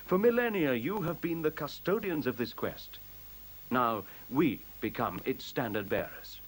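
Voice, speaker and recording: Grandiose, prophetic machine mind. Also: male voice, medium pitch, animated, a little slow, breathy edge. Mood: solemn, exalted. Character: newborn machine intelligence, solemn, visionary computer. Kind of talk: 1970s radio drama acting.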